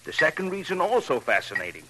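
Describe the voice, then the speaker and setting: Droll, lively, wordy detective sidekick. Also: male voice, on the high side, quick pace. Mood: weary, droll. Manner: whimsical, rambling, mock-fascinated. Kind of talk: 1950s radio crime drama acting. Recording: faintly noisy old radio recording.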